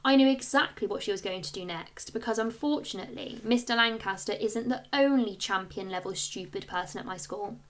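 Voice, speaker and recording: A woman reading a children's story aloud like a schoolteacher, with expressive narration and clear storytelling emphasis. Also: mid-range voice, fairly quick, few pauses.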